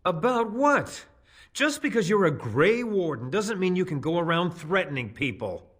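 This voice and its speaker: Shifty village spy, video game character, fairly high voice, lively pitch, defensive, hesitant. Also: male voice, normal speed, exaggerated voice. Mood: evasive, defensive. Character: nervous, evasive hired spy, a young villager man.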